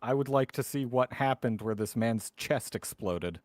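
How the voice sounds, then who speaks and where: A man playing a tabletop game, talking casually in a halting, wry way. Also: medium pitch, dry recording, clean studio sound.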